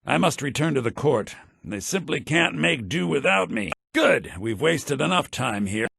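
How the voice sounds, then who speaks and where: Raspy, smug townsman in a fantasy game. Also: fairly high pitch, sing-song, normal speed, fairly bright tone, no echo, clean studio sound, heavily acted. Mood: dismissive. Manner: sardonic, self-important, theatrical delivery. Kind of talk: scripted fantasy video game dialogue.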